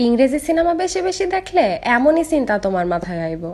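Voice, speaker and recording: A woman's voice: high-pitched, very animated, fast talker, darkish tone. A woman voicing a confident, ambitious young female inventor in a comic cartoon, earnest and assured. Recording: background music under the voice.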